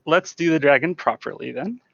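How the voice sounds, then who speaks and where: A man's voice: on the high side, moderate pace, gravelly, fairly bright tone, dull audio. A man giving informal live commentary as a speedrunner, often talking to the game while he plays.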